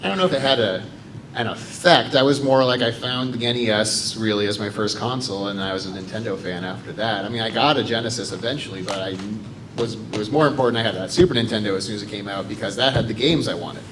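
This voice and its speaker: A man's voice: lowish voice, fast talker, background noise. An American man speaking on a convention panel, talkative and explanatory, with frequent fillers. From United States.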